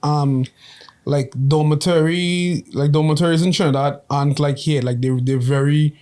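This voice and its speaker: A man's voice: a little high, fairly even intonation, moderate pace, a little mellow. A man hosting a casual interview, talking in slang and often repeating words and saying like. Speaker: host.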